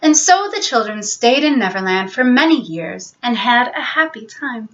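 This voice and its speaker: A woman voicing a gentle, motherly young girl who speaks earnestly and politely in a storybook. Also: on the high side, very animated, normal speed, muffled recording. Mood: storytelling.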